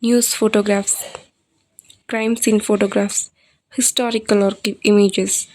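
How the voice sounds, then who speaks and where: A woman narrating a scripted horror story as a podcast storyteller, with deliberate, dramatic phrasing. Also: mid-range voice, level tone, a little mellow, a little hiss or hum.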